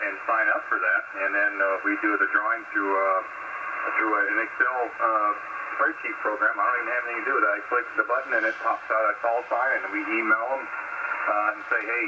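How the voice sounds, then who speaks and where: A man chatting casually as an amateur radio operator over a sideband radio link, in a rambling, folksy, polite way. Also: lowish voice. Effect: sideband radio transmission. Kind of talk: casual ham radio contact. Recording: very noisy shortwave radio signal with static.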